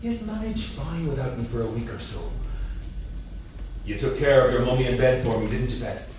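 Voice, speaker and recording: A man's voice: mid-range voice, lively intonation, moderate pace, a little mellow, natural voice. An actor in a staged play reading, playing a domineering, volatile husband and businessman with an emphatic delivery. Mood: proud, jovial. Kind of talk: staged reading, theatrical dialogue. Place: indoor theatre at a staged reading. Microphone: distant room microphone. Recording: distant, very echoey theatre room, faint noise.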